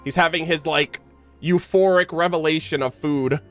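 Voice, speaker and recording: A man's voice: on the high side, fairly even intonation, moderate pace, a bit bright. Slangy male streamer. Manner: slangy, informal. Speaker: live streamer, gamer. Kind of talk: casual live game-stream commentary to chat. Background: game music.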